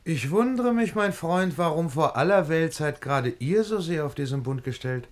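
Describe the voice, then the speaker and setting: An actor playing a calm, wry middle-aged gentleman, speaking courteous, measured rhymed verse in a radio play. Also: fairly high pitch, close to an everyday voice. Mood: reasonable.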